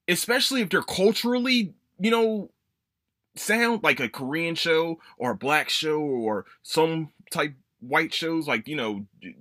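A man's voice: fairly high pitch, bright, forceful tone, no echo, studio quality. A young adult man hosting a casual solo podcast, rambling, swearing and correcting himself as he goes. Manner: rambling, profane, self-correcting. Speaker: podcast host.

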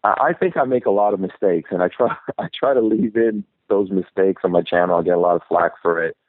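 A man's voice: deep voice, fairly quick, dry recording. A man who grew up in New York City, a YouTuber guest chatting easygoing and reflective in an interview. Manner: easygoing, reflective, rambling with restarts. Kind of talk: casual interview chat. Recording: tinny phone line.

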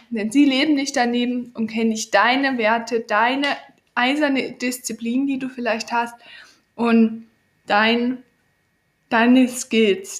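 A woman with a cold hosting a podcast, giving an earnest motivational monologue straight to the listener. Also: on the high side. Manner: earnest, coaching, addresses listener directly. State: has a cold. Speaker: podcast host.

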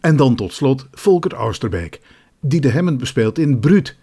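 A man's voice: mid-range voice, expressive, normal speed, warm tone, breathy edge. A man with a Netherlands Dutch accent presenting scripted documentary narration. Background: music under the voice.

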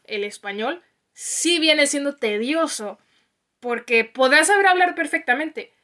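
A woman's voice: sits high, expressive, a little slow, sharp tone. A young woman with a northern Mexican accent, chatting casually with her sister and teasing her. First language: Spanish. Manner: chatty, teasing, laughs often. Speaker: co-host, sister. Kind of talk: casual chat.

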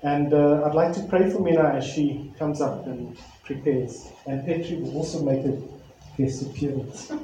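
Mellow-voiced pastor in echoey church. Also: male voice, on the high side, a little hiss or hum. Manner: earnest, reflective, pausing with fillers. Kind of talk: sermon and prayer. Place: church hall. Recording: very echoey church hall, faint ambience.

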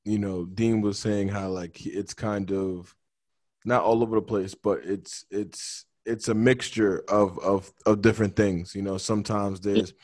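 A man's voice: fairly low pitch, fairly even intonation, unhurried, darkish tone. A man interviewing a rapper, asking slangy, casual questions full of restarts. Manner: slangy, friendly, stacks hesitant restarts.

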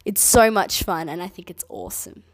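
Bubbly Australian woman, lively pitch. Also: brisk. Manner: bubbly, enthusiastic, speaks gently to kids. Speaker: children's entertainer. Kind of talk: answering children's questions. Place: at home.

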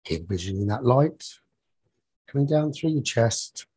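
A man leading a guided sleep meditation as a teacher, soothing and husky, speaking in short phrases with long pauses. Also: mid-range voice, animated, dark tone, no room sound.

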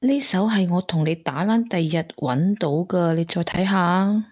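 Actress as a husky, troubled young Hong Kong woman. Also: lowish voice, moderate pace, darkish tone, natural voice. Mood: earnest. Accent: Hong Kong Cantonese. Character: troubled, grieving young woman, gentle and guilt-ridden. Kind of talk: Cantonese radio drama acting.

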